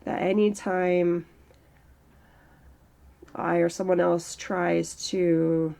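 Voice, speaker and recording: A woman in her early thirties speaks in a slow, halting, reflective way, with a strained throat. Her voice is mellow and dark, with a gravelly edge, and sits a little low for a woman. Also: flat delivery. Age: early 30s. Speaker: channel host. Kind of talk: personal mental health vlog monologue.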